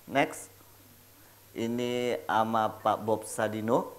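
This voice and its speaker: A man's voice: lowish voice, steady tone, takes it slowly. A man hosting a casual, playful interview, slangy and mixing in English words. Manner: jokey, slangy, peppers talk with English words. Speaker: talk show host.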